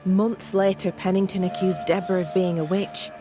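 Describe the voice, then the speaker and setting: A woman's voice: mid-range voice, level tone, moderate pace, music in the background, close to an everyday voice. An actress playing a guarded, reluctant colonial woman in a video game, speaking in a terse, wary manner. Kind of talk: scripted video game cutscene acting.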